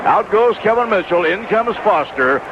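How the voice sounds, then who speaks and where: A man with a fairly high voice gives live baseball play-by-play. The sound is a muffled old AM radio broadcast with clear noise behind him. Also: lively intonation, moderate pace. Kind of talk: live radio sports commentary. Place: stadium broadcast booth. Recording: noisy, dull 1980s AM radio broadcast recording.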